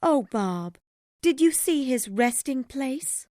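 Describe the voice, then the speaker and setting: Storybook mother, played by a woman narrator: high, thin and soft, tender, careworn but bravely cheerful, with lively swoops. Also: takes it slowly, dry recording, clean studio sound. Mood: anxious tenderness.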